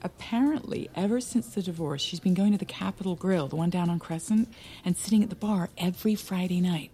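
A woman's voice: fairly low pitch, fairly quick, mellow, slightly rough. A woman playing a brash, gossipy married friend in an audio drama, talking in a knowing, blunt, teasing way. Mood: gossipy, gleeful. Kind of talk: naturalistic audio drama dialogue. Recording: music playing behind the voice.

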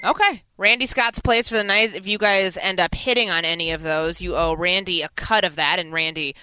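A woman's voice: lowish voice, brisk, sharp tone, clean tone, dry recording, poor audio. A woman hosting sports talk radio in a conversational way, moving between promos and banter.

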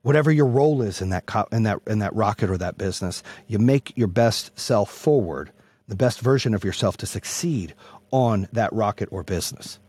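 Guy doing a pushy motivational rant, rough husky voice, sweary, repeats himself for punch, really dry sound. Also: fairly low pitch, expressive, normal speed, a little mellow, dry recording. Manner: brash, profane, emphatic, repeats phrases for punch. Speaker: motivational speaker and host. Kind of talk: motivational monologue.

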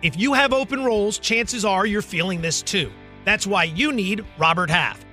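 Ad voice, man, high and very bright, polished read, faint music underneath. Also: normal speed. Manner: polished, upbeat pitch. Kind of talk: radio ad read.

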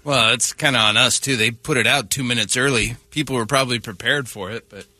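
Male co-host, mid pitch, very forceful bright tone, casual conversational interjections. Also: a little fast, no echo. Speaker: radio show co-host. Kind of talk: casual banter.

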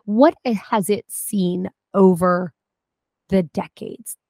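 A woman in her forties, guest on a chat show, telling a lively story with many restarts and asides. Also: mid-range voice, takes it slowly, creaky, no echo, clean studio sound. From United States. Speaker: guest, food historian and cooking teacher. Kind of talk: casual interview chat.

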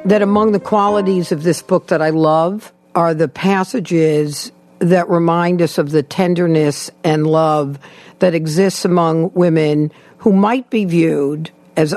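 A woman's voice: on the low side, a little mellow, no echo. A woman hosting an interview podcast, presenting and asking thoughtful questions.

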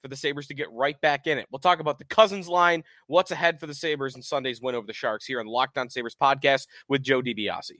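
A man's voice: medium pitch, fairly even intonation, fairly quick, hardly any pauses, bright, forceful tone, no room sound. A man hosting a sports podcast in a chatty, upbeat radio-style monologue, his voice strained from a cold. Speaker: podcast host.

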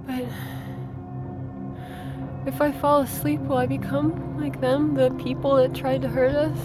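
A woman acting as an exhausted, frightened survivor fighting sleep in an audio drama, slow and dazed, with loud music behind her. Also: sits high, flat delivery. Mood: tired, fearful.

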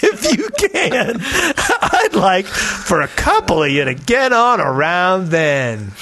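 A man's voice: high voice, very animated, slow pace, sharp tone. A man co-hosting a casual podcast, playfully theatrical as he chats and reads aloud. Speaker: podcast host. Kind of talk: casual chat and reading listener mail aloud.

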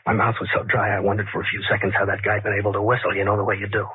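An actor playing a nervous, obsessive killer who confides in a rambling, conversational way, in the manner of 1940s radio suspense narration. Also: male voice, on the low side, flat delivery, very fast, natural voice. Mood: confiding, wry. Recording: scratchy, tinny 1940s radio recording.

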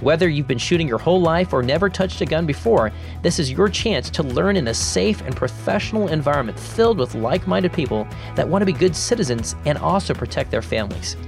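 A man hosting the show, reading an ad and narration in a smooth, even announcer delivery. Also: a little high, brisk, soft background music. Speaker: host. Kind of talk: scripted narration and ad read.

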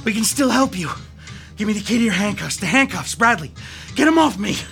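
An actress playing a defiant, desperate captive police detective in a horror radio drama, urgent and forceful. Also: female voice, medium pitch, expressive, a little fast, faint music behind. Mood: urgent pleading.

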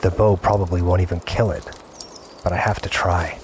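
A man's voice: sits low, level tone, normal speed, a little hiss or hum, lightly acted. A man playing an earnest, obsessive gamer in an audio drama, confiding his play session in short, broken-up phrases. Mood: wary, tense. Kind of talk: first-person scripted audio drama narration.

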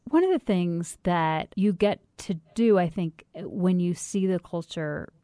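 Mellow-voiced woman interviewer. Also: fairly low pitch, a little slow, no echo, clean studio sound. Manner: curious, searching questions. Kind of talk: interview questions.

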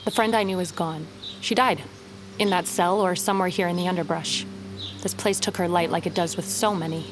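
A woman's voice: on the low side, fairly even intonation, fairly quick, noisy background, close to an everyday voice. An actress playing a tough, stubborn climber in an audio drama, terse and dry-witted in her delivery. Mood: defiant. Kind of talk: dramatic audio fiction acting.

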